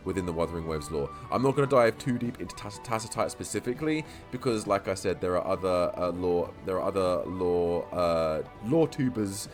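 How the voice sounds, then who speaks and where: A man talking through game-lore theories in a casual vlog. He stutters and repeats himself, restarting words and rambling on quickly. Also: low voice, expressive, faint music behind. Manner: talks quickly. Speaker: YouTube lore creator.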